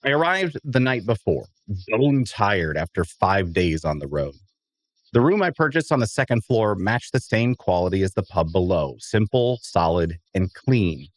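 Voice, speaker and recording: A man's voice: on the low side, big pitch swings, moderate pace, no echo. A man playing a wry, observant adventurer who narrates his own fantasy story at an audio drama table read. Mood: wry, reflective.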